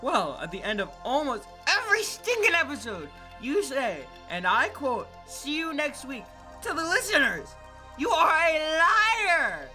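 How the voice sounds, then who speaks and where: A woman's voice: sits high, sing-song, a little slow, sharp tone, soft background music, heavily acted. A woman playing a theatrical, sarcastic scold who berates people in a comic audio drama. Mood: accusing, scornful. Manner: accusing, melodramatic, sarcastic.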